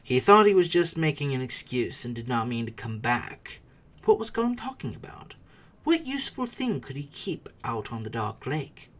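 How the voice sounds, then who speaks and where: A man narrating a storybook dramatically, moving theatrically between hushed and emphatic delivery. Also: medium pitch, sing-song, moderate pace. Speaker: audiobook narrator.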